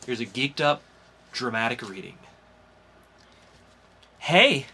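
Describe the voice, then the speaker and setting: A man talks casually as a podcast host. His voice is bright and forceful, sitting at a medium pitch. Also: expressive, a little slow, a little hiss or hum.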